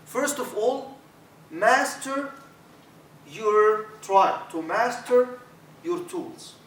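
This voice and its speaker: Arabic-accented imam with a high voice. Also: male voice, extremely slow. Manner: animated, repeats words, drops Arabic fillers. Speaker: imam teaching a workshop. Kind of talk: workshop talk to trainees. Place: university lecture room. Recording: echoey room, distant mic, faint hiss.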